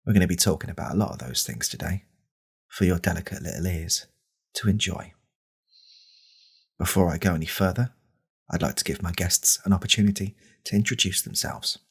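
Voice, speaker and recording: A man playing an angry, pompous right-wing podcast host in a deadpan parody monologue, with a deliberate, conspiratorial manner. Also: full character voice. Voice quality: very deep, gravelly, husky.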